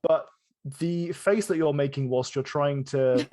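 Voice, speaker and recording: Higher-voiced male game master, improvising. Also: darkish tone. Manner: halting, often rephrasing as he improvises. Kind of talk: improvised tabletop game narration.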